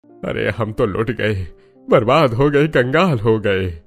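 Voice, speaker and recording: A man playing a polite, dignified middle-aged family father in a cartoon drama, speaking in a measured way. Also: fairly low pitch, lively intonation, faint music behind, heavily acted. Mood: despairing.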